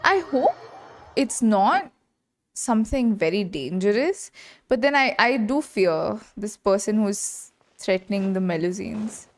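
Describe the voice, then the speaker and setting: A woman's voice: medium pitch, lively intonation, a little slow. A woman giving casual, reactive commentary as she streams a playthrough of a game.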